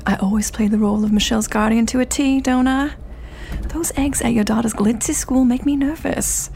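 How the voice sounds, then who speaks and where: An actress playing a breezy, brassy socialite confidante in a period radio drama, slangy and drawling. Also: female voice, on the high side, brisk, vocal fry, darkish tone, slight background noise. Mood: tipsy, defensive.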